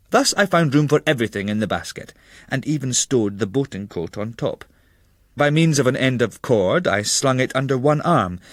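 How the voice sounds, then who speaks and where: Man, literary audiobook narration, medium pitch, formal measured storytelling, dry close sound. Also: no echo. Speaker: narrator, reader of a travel memoir.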